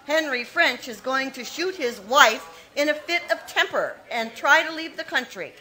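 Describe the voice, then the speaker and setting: A scheming young plotter from an old radio mystery, played by a woman, giving orders in a high, bright tone. She is cold and commanding, and she leaves no room for doubt in how she talks. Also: lively intonation, moderate pace, slight background noise, rough recording. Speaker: radio play actor. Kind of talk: 1940s radio acting.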